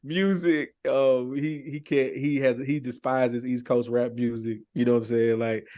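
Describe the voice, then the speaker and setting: Mid-pitched man in loose podcast chat. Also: little pitch movement, a little slow, warm tone, highs cut off. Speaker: podcast speaker. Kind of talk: casual chat.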